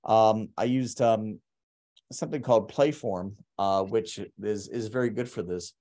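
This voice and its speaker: A man presenting his art over slides on a video call, talking haltingly with many ums and restarts. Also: lowish voice, warm tone. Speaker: artist presenting his work. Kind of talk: conference talk over slides. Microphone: webcam on a video call.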